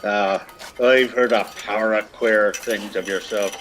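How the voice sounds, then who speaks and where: A man's voice: fairly low pitch, steady tone, a little slow, noisy background, natural voice. An actor playing a ragged, lazy older peasant man who grumbles and needles in a lilting, theatrical radio play style. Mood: sly grumbling.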